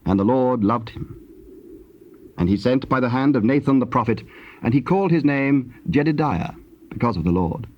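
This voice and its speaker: A man with a husky voice narrating scripture in a solemn, scripted style. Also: mid-range voice, expressive, moderate pace. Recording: dull, lo-fi tape sound.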